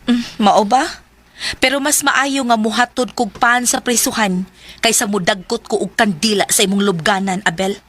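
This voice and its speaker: A woman's voice: medium pitch, steady tone, moderate pace, fairly bright tone, close to an everyday voice. A woman playing an outspoken, curious young working woman in a Bisaya radio drama, with a blunt questioning manner and a Filipino accent. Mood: blunt, brisk.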